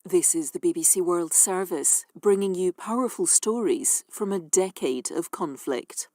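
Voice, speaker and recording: A woman's voice: fairly low pitch, measured pace, dark tone, no echo. A woman station announcer reading a formal promo announcement.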